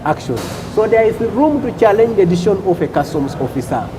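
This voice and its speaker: A man from Uganda, a customs official on a talk show, explaining rules deliberately and repeating points with "okay?" checks. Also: high voice, sing-song, noisy background.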